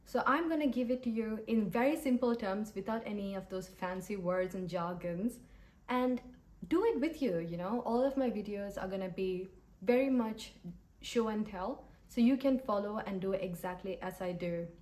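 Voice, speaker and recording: A woman in her late twenties from Sri Lanka, talking brightly and directly to her viewers in a scripted get-to-know-me video. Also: medium pitch, normal speed. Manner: upbeat, lively, addressing viewers directly. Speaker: vlogger. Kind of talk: scripted vlog to camera.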